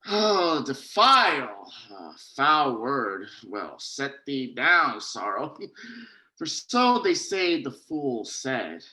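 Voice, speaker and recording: A man's voice: medium pitch, very animated, drawn-out words, pushed and bright, exaggerated voice, rough recording. A man playing a merry, bawdy young lover, declaiming Shakespearean verse in an exclamatory, mock-tragic theatrical manner. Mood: lovesick, giddy. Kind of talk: Shakespearean staged reading, horror parody.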